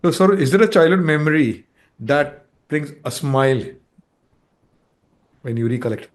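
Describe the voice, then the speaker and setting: A man's voice: fairly high pitch, lively intonation, moderate pace. Man as an interview host. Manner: brief reactions and prompting questions. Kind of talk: interview questions.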